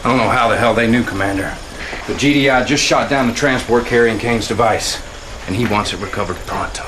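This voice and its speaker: Brash low-voiced soldier played by an actor, noisy background. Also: male voice, brisk, close to an everyday voice. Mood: urgent. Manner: informal, conspiratorial, punchy. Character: brash, slangy male soldier comrade, fiercely loyal. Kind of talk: dramatic video game cutscene acting. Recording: clear background noise, vehicle rumble.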